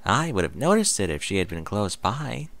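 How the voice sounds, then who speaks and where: A man voicing a merry, excitable young female pony in a read-aloud story, with playful, exclaiming delivery. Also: lowish voice, moderate pace, no room sound, voice pushed up. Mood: merry, excited.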